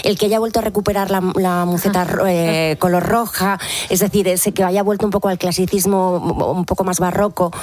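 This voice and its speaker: A woman's voice: lowish voice, steady tone, fairly quick, a little mellow. A woman commenting on a radio panel, hesitant with many restarts.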